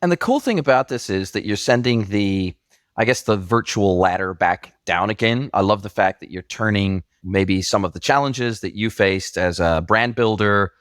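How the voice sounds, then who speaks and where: A man's voice: fairly low pitch, moderate pace, no echo, studio quality. A man in his forties with a mixed international accent, hosting a podcast interview in an effusive, enthusiastic way. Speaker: podcast host.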